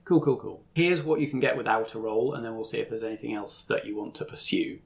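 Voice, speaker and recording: Guy with a lowish voice game mastering, explaining the setting casually. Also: fast talker, gravelly. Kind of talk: narrating a tabletop roleplaying game.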